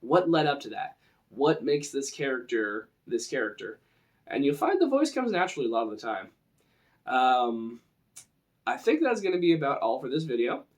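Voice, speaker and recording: A man's voice: medium pitch, sing-song, moderate pace. A man presenting an informal tutorial on role-playing to camera, talking in a casual, explanatory way.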